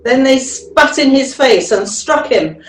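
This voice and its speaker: A woman's voice: high voice, lively intonation, measured pace, a little hiss or hum. A woman narrating a scripture reading as a church member reader. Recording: echoey room.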